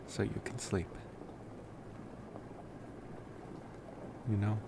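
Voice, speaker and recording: A man playing a gentle, wistful college vampire boyfriend in an intimate ASMR roleplay, rambling hesitantly in a soft-spoken way. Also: on the low side, vocal fry, noisy background. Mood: affectionate, reassuring.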